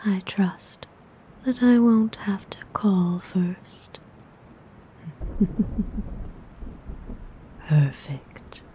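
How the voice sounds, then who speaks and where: A woman's voice: medium pitch, animated, dark tone, a little hiss or hum, rough recording. A woman whispering as a haughty female vampire. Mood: possessive. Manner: whispered, slow and lingering; haughty, formal phrasing. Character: predatory female vampire, aloof and possessive. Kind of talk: ASMR role-play audio.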